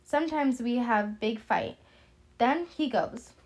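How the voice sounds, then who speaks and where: A woman voicing a jolly, tipsy, toothless old French woman for an audiobook, with a squeaky voice and effusive, broken English. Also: slow, a little mellow. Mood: welcoming. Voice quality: high-pitched, squeaky, squealing. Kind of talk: audiobook character voice.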